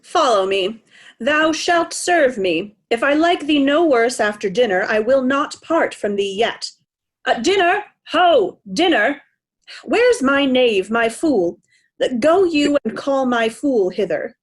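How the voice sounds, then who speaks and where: A woman's voice: high-pitched, very animated, takes it slowly, exaggerated voice. A woman playing an imperious, impatient old king in a readers' theatre Shakespeare play. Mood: brusque, probing. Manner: commanding, peremptory questioning.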